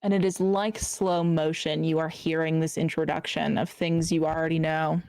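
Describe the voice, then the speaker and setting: A woman narrating a tabletop role-playing game as game master, deliberate, with frequent fillers like "uh" and "like". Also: low-pitched, level tone, a little mellow, no room sound.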